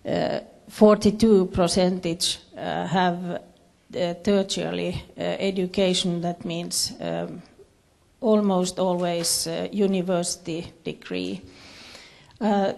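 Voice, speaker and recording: A woman with a Finnish accent giving a presentation in English as a second language, haltingly searching for her words. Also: on the low side, creaky, darkish tone. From Finland. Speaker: conference speaker, education official. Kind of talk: presentation to an audience with slides.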